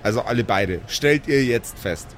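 A man's voice: medium pitch, lively intonation, normal speed, slight background noise. A young man acting as game master in an improvised audio play, narrating animatedly with frequent restarts. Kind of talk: improvised narration and table chat.

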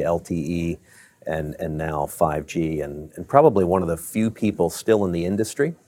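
Middle-aged exec from Maryland, very low voice, dry close sound, measured delivery with fillers. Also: male voice, darkish tone, no echo. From Baltimore, Maryland. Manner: measured, many fillers and restarts. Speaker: tech company CEO guest. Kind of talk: business interview answers.

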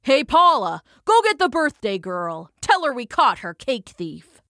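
A rough, mocking burly workman, as a woman storyteller puts him on. He talks slow and heavy, with plenty of swing in his voice. The sound is close and dry. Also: big pitch swings, fairly bright tone, no echo, exaggerated voice. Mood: gruff mocking. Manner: gruff, mocking, lowered voice.

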